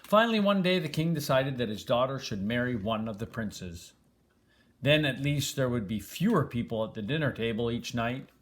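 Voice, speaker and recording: An older man reading a children's storybook aloud in an expressive, grandfatherly way, stressing and stretching words. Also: mid-range voice, moderate pace.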